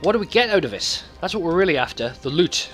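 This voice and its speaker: A man with a lively, swooping delivery and a slightly high voice gives casual gameplay commentary. He rambles and swears loosely as a let's play host, with faint music behind him. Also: quick pace.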